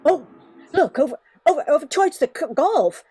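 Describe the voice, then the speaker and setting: A woman's voice: high-pitched, expressive, brisk, mellow. A woman playing a sassy fifteen-year-old Cajun granddaughter in an amateur radio play. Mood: cheeky, eager.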